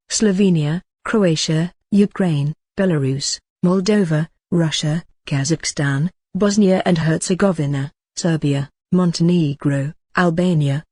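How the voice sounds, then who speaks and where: Breathy low synthetic female broadcaster. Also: darkish tone, no room sound, studio quality. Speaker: emergency broadcast announcer. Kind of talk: flat synthetic broadcast reading.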